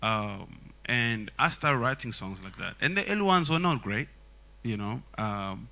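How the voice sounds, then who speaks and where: A man from Congo speaks English as a later language, earnest and thoughtful, filling pauses with "you know" and "like." His voice is bright and forward at a middling pitch. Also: lively intonation, vocal fry. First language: Swahili. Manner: earnest, reflective, many fillers. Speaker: songwriter. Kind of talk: casual panel talk.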